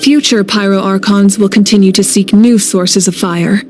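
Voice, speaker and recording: An actress playing a resolute, composed warrior leader in a video game, speaking earnestly. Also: female voice, mid-range voice, fairly even intonation, normal speed, darkish tone, soft background music, lightly acted. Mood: calm conviction. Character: resolute warrior archon woman, calm, self-sacrificing leader. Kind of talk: video game cutscene acting.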